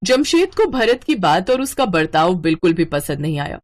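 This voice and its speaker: Woman narrating with lively, swinging intonation. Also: lowish voice, moderate pace. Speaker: story narrator. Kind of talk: scripted moral story narration.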